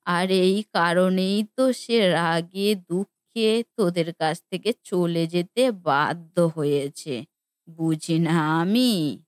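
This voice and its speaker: Woman playing a slow-talking, reproachful older mother. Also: on the low side, no echo, clean studio sound. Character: older mother of grown daughters, reproachful, plain-spoken mother-in-law. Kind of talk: Bengali family cartoon voice acting.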